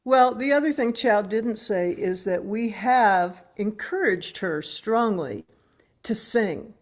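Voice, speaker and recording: An older woman with an American accent talks as a musician being interviewed. Her voice sits at a middle pitch, and her delivery is conversational and informal. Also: expressive, takes it slowly. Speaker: musician, guest. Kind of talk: casual interview talk.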